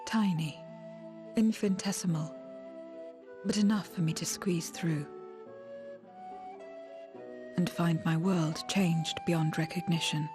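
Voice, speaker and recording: Guarded female wasteland survivor woman acting, video game drama. Lowish voice, solemn and detached, music beneath. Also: steady tone, unhurried, close to an everyday voice. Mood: grave, brooding. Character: guarded, world-weary female survivor of a lost dark world. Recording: background music under the voice.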